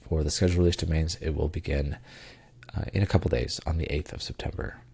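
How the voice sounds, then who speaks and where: A man's voice: extremely low pitch, fairly even intonation, fast, vocal fry, dark tone, breathy edge. A man hosting a casual tech news podcast, congested and tired, with plenty of ums and uhs and loose rambling asides. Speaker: podcast co-host.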